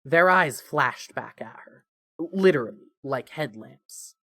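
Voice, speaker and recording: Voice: mid-range voice, expressive, takes it slowly, pushed and bright, dry recording. Dry-sounding narrator with a mid-range voice. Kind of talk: scripted story narration.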